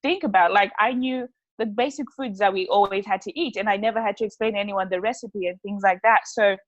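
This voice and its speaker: A woman's voice: mid-range voice, a little fast, dry recording, muffled recording. A woman, a podcast guest chatting casually and playfully. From Zimbabwe. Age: 20s. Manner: playful and chatty, laughs often. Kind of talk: casual chat.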